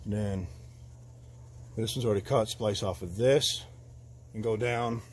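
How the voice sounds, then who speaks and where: Rambling mechanic explaining wiring. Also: male voice, lowish voice, measured pace, noisy background. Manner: loose, rambling, with fillers. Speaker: auto mechanic. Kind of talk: casual repair walkthrough. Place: garage, under a truck.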